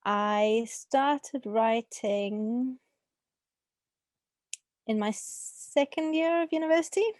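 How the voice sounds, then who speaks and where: A woman's voice: fairly high pitch, very slow, pauses often, darkish tone, no echo. A young adult woman with a South African accent, talking casually as an author being interviewed.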